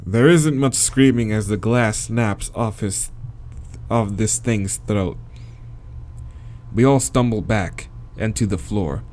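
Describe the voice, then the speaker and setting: An American man reading a visual novel aloud as a let's-play host, dramatic in the narration and breaking into slangy, expressive asides. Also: fairly low pitch, slow pace, slight background noise. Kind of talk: dramatic read-aloud with casual reactions.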